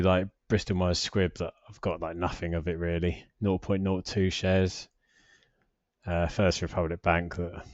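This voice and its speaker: A British man in his forties with a low voice talks casually through a screen share. He rambles and hesitates, often putting himself down. The recording is slightly dull but quite dry. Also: darkish tone, no echo. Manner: rambling, hesitant, self-deprecating. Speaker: personal finance vlogger.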